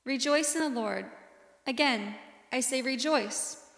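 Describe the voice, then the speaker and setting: Young female worship leader, formal liturgical reading, higher pitch, long church reverb. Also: slow pace, noisy background. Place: church sanctuary at a lectern.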